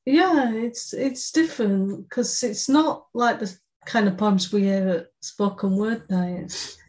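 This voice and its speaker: A woman's voice: mid-range voice, slow, a little mellow. A woman co-hosting a podcast who reads a poem aloud and then talks it over thoughtfully. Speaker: podcast co-host. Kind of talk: reading a poem aloud, casual chat.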